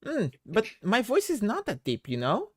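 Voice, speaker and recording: A young woman with a deep voice chatting casually as a stream host, relaxed and laughing. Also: moderate pace, no echo, clean studio sound. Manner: relaxed, laughing, talks while doing things on screen. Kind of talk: casual chat.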